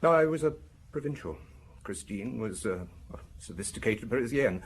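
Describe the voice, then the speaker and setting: A man's voice: medium pitch, animated, normal speed, slight background noise, lightly acted. A man in a radio drama playing a defensive, bitter pharmacist of forty, precise and prickly in his speech. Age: 40s. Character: defensive, bitter forty-year-old male provincial pharmacist suspect.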